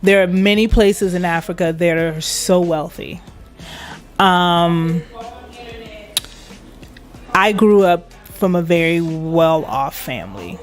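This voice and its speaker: A woman's voice: fairly low pitch, expressive, takes it slowly, pauses often, soft background music. A woman from Liberia, a guest in an interview, speaking with pride and emphasis and laughing often. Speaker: guest, internet radio station manager. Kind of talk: casual interview chat.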